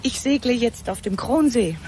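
Eine Schauspielerin spielt in einem Hörspiel eine bedrückte, zurückhaltende Frau, die höflich und zögernd spricht. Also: female voice, fairly high pitch, animated, moderate pace, dark tone, lightly acted. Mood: guarded, hesitant. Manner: hesitant, reserved, polite. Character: troubled, guarded middle-class woman, evasive and distracted. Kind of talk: naturalistic German radio drama. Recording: clearly noisy scene ambience.

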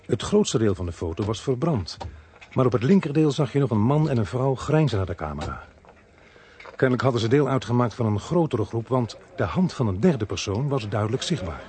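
A man's voice: mid-range voice, sing-song, a little fast, warm tone, natural voice. An actor playing a dry, wry police inspector who narrates his case in a radio drama. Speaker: narrator and lead character.

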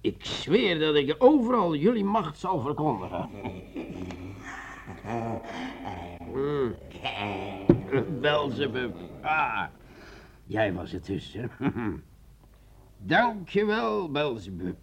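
An actor playing a drunken, bitter army sergeant in a radio play, theatrical and exclamatory, muttering tipsily. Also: male voice, mid-range voice, sing-song, extremely slow, long pauses, a little hiss or hum, full character voice. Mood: fervent, exalted.

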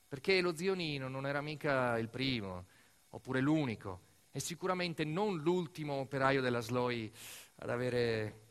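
A man performing a narrative theatre monologue as a storyteller, emphatic and dramatic, swinging between hushed and raised delivery. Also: mid-range voice. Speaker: stage actor narrating a monologue.

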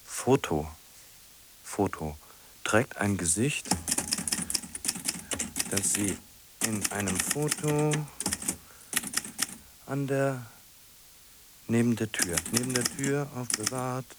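An actor in a radio play playing a lonely, brooding man who mutters haltingly to himself in fragments. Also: mid-range voice, heavy background noise, close to an everyday voice. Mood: pensive. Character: lonely, brooding man muddling through translating a pop song.

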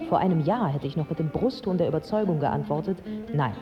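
An actress playing a candid, resolute young Iraqi woman, narrating a radio drama in a measured, articulate, earnest way. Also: low-pitched, dull audio, music in the background, natural voice. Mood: thoughtful, grave.

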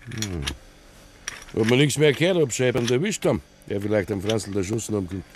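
A man's voice: on the low side, big pitch swings, fairly quick. An actor playing a nosy, probing village man in a Bavarian dialect radio play. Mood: sly, curious. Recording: noisy 1950s radio play recording.

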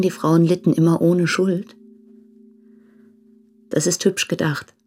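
An actress playing a proud, candid, impoverished young translator in a German radio play, speaking deliberately in a breathy voice. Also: female voice, sits low, mellow, full character voice. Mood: critical, firm. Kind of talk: German radio play, reading letters aloud.

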